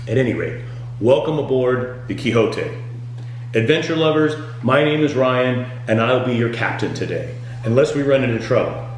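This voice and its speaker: A man's voice: mid-range voice, expressive, normal speed, slight background noise. Echo-heavy man doing tour-guide patter. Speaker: tour boat skipper performer auditioning. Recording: very echoey room, music underneath.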